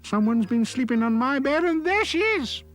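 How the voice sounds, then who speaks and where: A man voicing a tiny, tearful baby bear from a storybook, wailing in a squeaky little voice. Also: sing-song, normal speed, fairly bright tone, faint music behind, exaggerated voice. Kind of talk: storybook character voice.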